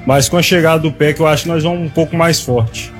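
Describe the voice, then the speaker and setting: Relaxed football fan. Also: male voice, on the high side, fast, faint music behind. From Minas Gerais, Brazil.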